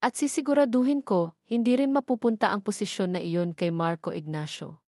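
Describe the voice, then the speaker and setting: A woman's voice: medium pitch, brisk, mellow, no room sound, clean studio sound, close to an everyday voice. A woman voicing a cold, commanding schemer who gives terse, imperious orders in an audio drama. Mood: cold, threatening.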